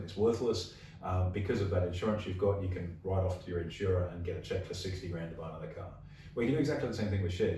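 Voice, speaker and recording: A hoarse, gravelly-voiced man with an Australian accent, hosting an investing podcast and explaining ideas through everyday examples. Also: deep voice, warm tone, very echoey, far from the mic, a little hiss or hum, poor audio. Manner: explains with everyday analogies, frequent restarts. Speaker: podcast host. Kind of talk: casual investing podcast chat.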